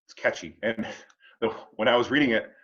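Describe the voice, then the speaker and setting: A man hosting a casual discussion of readings, reflecting in a halting, rambling way and often checking in with the listener. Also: medium pitch, fairly bright tone, muffled recording. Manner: halting and rambling, checking in with "you know" and "huh". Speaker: host of a family read-along discussion.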